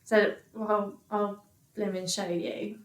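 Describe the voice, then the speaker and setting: A woman in her thirties talks through a personal story as a podcast guest. She speaks at a middling pitch, stumbling and restarting often, quoting back what others said. The room around her rings with echo. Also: steady tone. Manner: halting, many restarts and fillers, quotes others. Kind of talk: candid interview storytelling. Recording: echoey room.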